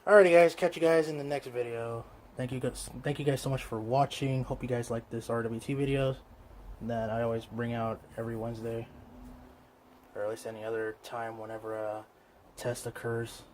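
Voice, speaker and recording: Faint noise sits behind a man with a mid-pitched voice. He talks casually, like a video host commenting on his hobby gear and addressing his viewers directly. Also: level tone, brisk, darkish tone, low-quality recording.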